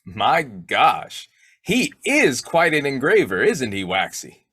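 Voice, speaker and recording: A man's voice: mid-range voice, wildly swooping pitch, slow pace, fairly bright tone, husky, no room sound, studio quality, full character voice. A man acting a snoopy, folksy husband who cracks slangy jokes in an old-time radio comedy. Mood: teasing. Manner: folksy, jokey, slangy delivery.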